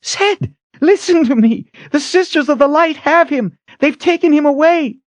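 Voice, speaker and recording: A man's voice: very high-pitched, animated, unhurried, a little mellow, slightly rough, dry recording, pitched above the performer's own voice, exaggerated voice. A man voicing a frantic young woman who pleads urgently and breathlessly in a dramatic audiobook reading. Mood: frantic pleading.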